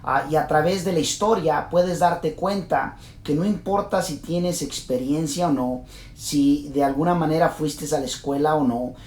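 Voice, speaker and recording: A man's voice: moderate pace, a little mellow. A man in his late thirties with a Mexican accent, earnestly telling his life story to course subscribers, with many fillers and restarts. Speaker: real estate investor and course host. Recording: roomy with faint rumble.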